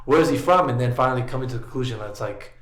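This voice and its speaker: A man's voice: mid-range voice, rapid-fire. A young man hosting a podcast in casual chat, joking and finishing his guest's sentences. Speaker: podcast host. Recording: echoey room.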